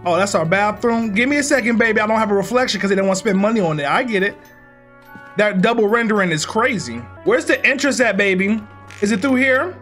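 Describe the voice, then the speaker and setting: A man's voice: sits high, animated, fast talker, a bit bright, soft background music. A young American man with a slight speech impediment, casually rambling and teasing himself as he hosts a game playthrough. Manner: playful, rambling, self-teasing. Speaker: gaming video host. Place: indoor room with green screen.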